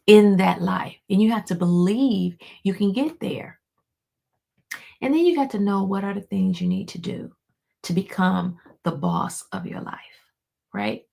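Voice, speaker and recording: A hoarse woman, a life coach, giving an encouraging motivational talk to her viewers, repeating key lines for emphasis and calling them y'all. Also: mid-range voice. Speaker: life coach hosting a weekly motivational show. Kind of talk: motivational pep talk to camera.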